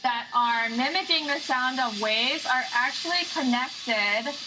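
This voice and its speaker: A woman narrating an explanatory video clip in a measured way. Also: high-pitched. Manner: slow and measured. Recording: video clip with clear background noise.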